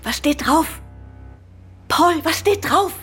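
An actress playing a spirited, curious and anxious detective's wife in a German radio play. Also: female voice, extremely high pitch, lively intonation, slow pace, fairly bright tone, faint music behind, heavily acted. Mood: shocked, anxious.

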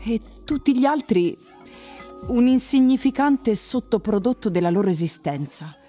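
A woman's voice: a little high, expressive, normal speed, mellow. A woman reading scripted story narration for a radio drama. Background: music.

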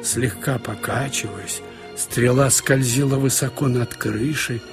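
A man narrating a literary short story in an expressive, storytelling way with wry colloquial asides. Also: mid-range voice, measured pace. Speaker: narrator reading short fiction. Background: music.

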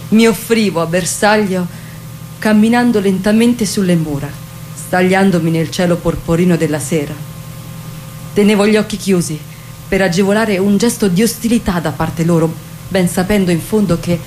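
Stage monologue: actress as weary, detached mythic queen, proud and deliberate, lower female register, noisy ambient recording. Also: mellow, lightly acted. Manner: measured, deliberate phrasing in short fragments. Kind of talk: theatrical dramatic monologue, slow literary recitation.

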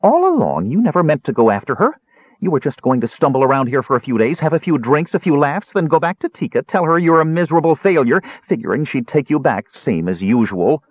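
A man voicing a small, eager, excitable kender who nags and pleads in a fantasy audiobook. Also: fairly high pitch, fairly quick, husky, dry recording, dull audio, voice pushed up. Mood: reproachful.

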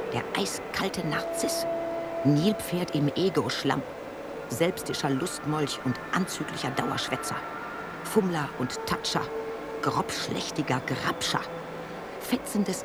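A woman's voice: low voice, very animated, slow, over loud music, exaggerated voice. An actress playing a scornful woman who recites a declamatory litany of insults in a radio play, at times dropping toward a whisper.

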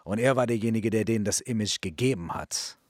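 A man's voice: on the low side, a little fast, darkish tone, no room sound, clean studio sound. A man co-hosting a radio show in casual, slangy German sprinkled with English hip-hop terms.

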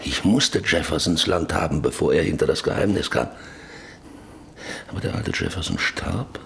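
Deep, gravelly stern farmer father. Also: expressive, normal speed, a bit bright, slight background noise, heavily acted. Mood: brooding narration. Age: middle-aged. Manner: commanding, blunt, cutting others short. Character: stern, domineering farmer father, hard and shrewd. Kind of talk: German radio drama acting.

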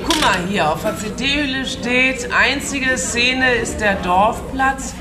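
A woman's voice: high-pitched, slow, music in the background. An actress playing a sceptical village woman with a dry, teasing manner.

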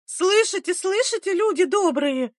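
A woman voicing a stout, middle-aged, superstitious village mother in an audiobook, shrill, accusing and hysterical. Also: animated, unhurried, dry recording, studio quality. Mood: accusing fury. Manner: shrill, shouting, wailing.

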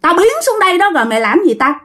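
A woman's voice: very high voice, big pitch swings, racing, fairly bright tone, pitched above the performer's own voice, full character voice. A woman voicing a vengeful dead old man in a ghost story, snarling threats and demanding his severed hand back over and over. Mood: taunting, menacing. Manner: snarling, menacing, repeats threats.

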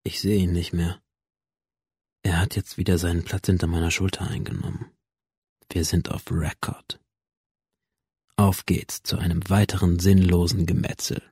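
An actor playing a bitter, cynical young man, a dead gunman living on as a simulation, in a deadpan, sardonic radio drama monologue. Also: low voice, normal speed, pauses often, husky, dry recording, studio quality. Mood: weary, fatalistic. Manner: deadpan, sardonic, crude slang.

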